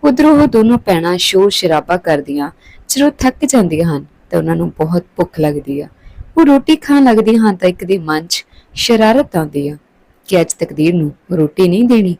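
A woman's voice: fairly low pitch, lively intonation, a little fast, dark tone, no room sound, rough recording. A woman narrating a scripted story.

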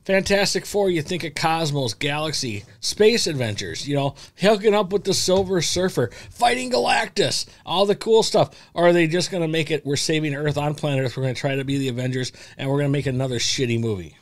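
A middle-aged American man hosting a casual podcast in an animated, rambling way, close on a studio mic. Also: on the high side, brisk, few pauses. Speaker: podcast host. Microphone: close boom-arm podcast microphone with headset.

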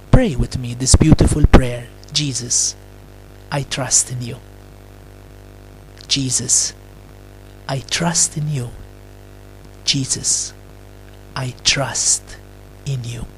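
Man, friar, homily, very slow deliberate phrasing, faint background music. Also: mid-range voice, low-quality recording. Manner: deliberate, phrase-by-phrase delivery with long pauses. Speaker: Capuchin friar preaching. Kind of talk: slow Christmas homily with prayer.

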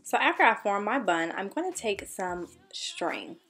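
A woman's voice: mid-range voice, big pitch swings, unhurried, fairly bright tone, faint music behind. A young woman beauty vlogger narrating a scripted tutorial voice-over in an upbeat, enthusiastic manner. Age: young adult.

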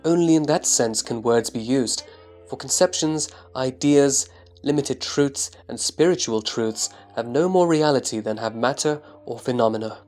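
Thoughtful male disciple, played by a man, reverent, deferential, careful, medium pitch, audio drama recitation, music underneath. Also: normal speed, a little mellow, lightly acted. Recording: music behind the voice.

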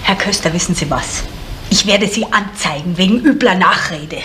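A woman's voice: on the low side, moderate pace, fairly bright tone, close to an everyday voice. Indignant, guarded middle-aged woman, played by an actress. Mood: angry, defiant. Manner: formal, reproachful. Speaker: actress in a crime radio play. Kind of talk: 1970s German radio drama acting. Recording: faintly noisy 1970s radio recording.

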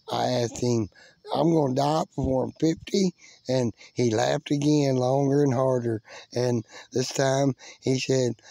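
An American man in his forties with a gravelly voice, preaching informally to camera in a folksy, unhurried way, outdoors with a phone held close. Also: mid-range voice, dark tone, no echo. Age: 40s. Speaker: lay preacher vlogger. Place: outdoors by a river. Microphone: phone held close to the face.

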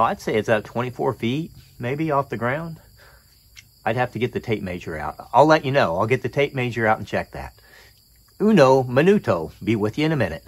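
A middle-aged American man giving a casual, unscripted show-and-tell outdoors in a plain-spoken, folksy way. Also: mid-range voice. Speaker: hobbyist presenter. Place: outdoors in a yard and on a roof. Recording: outdoor recording with insect noise.

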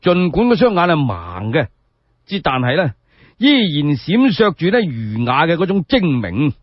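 A Cantonese man performs a solo radio story in a colloquial, dramatic way. His voice is raspy and a bit high, swinging widely in pitch. The recording is dry and dull. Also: no echo. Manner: animated, colloquial, drawn-out phrasing with rhetorical questions. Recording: dull lo-fi sound.

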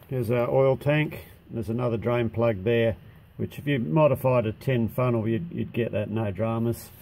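A plain-spoken Australian man at a middling pitch, with a gravelly, creaky edge to his voice. He talks a viewer casually through his machine shop, dropping in a bit of slang. He is caught on a handheld camera inside a large workshop shed, with faint background noise. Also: a little mellow. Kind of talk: casual walkthrough narration.

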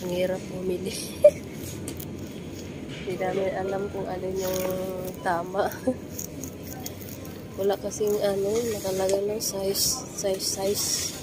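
A woman's voice: mid-range voice, completely flat delivery, slow, pauses often, very noisy. A woman in her thirties filming a casual shopping vlog on a handheld phone in a supermarket, chatty and laughing often as she talks half to herself. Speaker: vlogger. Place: large supermarket aisle. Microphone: phone camera held in hand.

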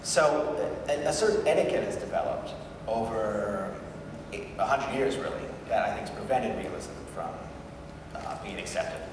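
A man in his thirties lays out an argument point by point, articulate and measured, with a slightly low voice. He is heard from far off across a large, echoey hall with steady background hiss. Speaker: journalist panelist. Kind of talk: panel discussion.

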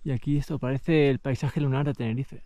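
A man's voice: medium pitch, fairly even intonation, fairly quick, dark tone, slight background noise. A young man with a European Spanish accent doing casual, slangy, enthusiastic commentary for an outdoor vlog. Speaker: vlogger. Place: outdoors on snowy mountain slopes.

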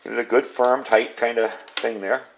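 A man's voice: medium pitch, steady tone, moderate pace, a little hiss or hum, rough recording. A middle-aged man giving a casual, informal hands-on walkthrough, talking as a video host at an indoor workbench. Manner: chatty, informal, explanatory. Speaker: video host demonstrating gear.

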